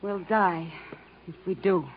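A woman's voice: fairly low pitch, fairly even intonation, slow. An actress playing a desperate, embittered woman stranded in the jungle, melodramatic in the manner of 1950s radio drama. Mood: anxious. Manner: melodramatic, pleading, accusing. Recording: dull, noisy 1950s radio recording.

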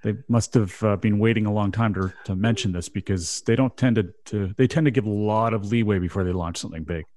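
A man's voice: lowish voice, brisk, hardly any pauses, darkish tone. A Canadian man hosting a radio show, chatting casually and laughing often. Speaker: podcast host.

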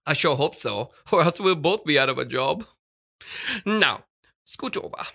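An actor playing a flamboyant, fussy, excitable radio star with emphatic, theatrical delivery in a children's audio drama. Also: mid-range voice, a little slow, gravelly, heavily acted. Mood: breezy, delighted.

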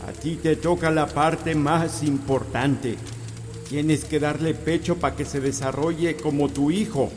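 A firm old village healer. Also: male voice, on the high side, in a large hall, slight background noise. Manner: calm, firm instructions. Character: old male village healer who knows tonal rites, calm and firm. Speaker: actor in a sound drama.

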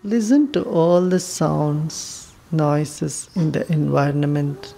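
Woman doing guided meditation, soft dark tone, unhurried and soothing, faint ambient noise behind her. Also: low-pitched, creaky. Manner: soothing, unhurried, with long pauses.

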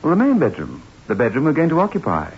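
An actor playing a confident, teasing husband and sceptic, with wordy theatrical delivery in a 1960s radio drama. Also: male voice, medium pitch, huge pitch swings, fast talker, slightly rough, full character voice. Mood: affectionate teasing. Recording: hissy, muffled 1960s radio recording.

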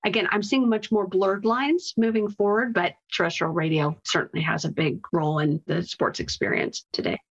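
A woman's voice: lowish voice, fairly even intonation, normal speed, hardly any pauses, slightly rough. A woman talking conversationally as an interview guest, giving explanatory answers as a marketing executive. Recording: slightly dull, lo-fi audio.

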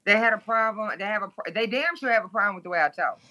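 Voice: mid-range voice, quick pace, bright, forceful tone. A guest from Toledo, Ohio, speaking bluntly and candidly in an interview, swearing freely.

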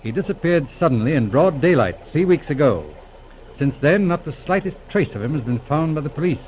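An actor playing an astute, businesslike police inspector in a 1940s radio drama, composed and probing in manner. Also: male voice, on the high side, expressive, fairly quick, darkish tone, natural voice. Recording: noisy old radio recording.

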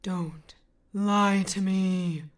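A woman voicing a pompous, quick-to-rage male supervillain who delivers grand, drawn-out threats. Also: steady tone, noisy background. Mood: outraged. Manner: booming, drawn-out theatrical threats.

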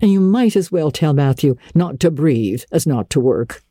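A woman's voice: low-pitched, expressive, moderate pace, mellow, breathy edge, dry recording, studio quality. A woman voicing a practical, stern older farm woman, plain-spoken and matter-of-fact, in a storybook reading. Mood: concerned, dry.

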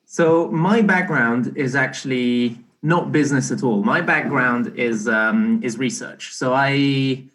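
A young man talking as a podcast guest in a relaxed, halting way, often restarting his sentences. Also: mid-range voice. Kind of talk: casual conversational interview.

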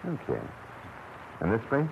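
A man's voice: medium pitch, huge pitch swings, unhurried, gravelly, full character voice. An American man playing a wry, slangy, smitten private detective in a 1940s radio drama. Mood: probing, casual. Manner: wry, slangy, world-weary. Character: smitten, wisecracking hard-boiled male private detective. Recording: noisy, muffled old radio broadcast.

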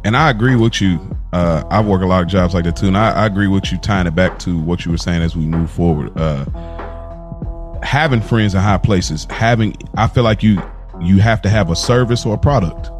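A man's voice: low-pitched, fairly quick, faint music behind. A man in his thirties hosting a casual podcast, talking in slangy, conversational phrases that he often repeats for emphasis. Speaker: podcast host.

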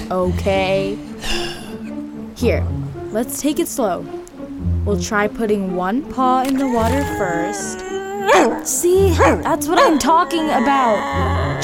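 An actress playing a plucky young girl on a raft in an audio drama, earnest, chatty and expressive. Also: high voice. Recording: loud music under the voice.

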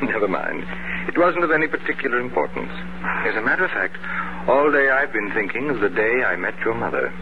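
An actor playing a gentle, sentimental middle-aged father, tender and softly teasing, in a 1950s radio drama. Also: medium pitch, very animated, fairly quick, noisy background. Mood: gently chiding. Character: gentle, sentimental widowed father of a grown daughter. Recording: dull vintage radio recording with music.

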